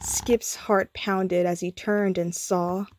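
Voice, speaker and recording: A woman narrating a scripted bedtime story in a theatrical, deliberate manner. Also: on the low side, little pitch movement, a little mellow, slight background noise.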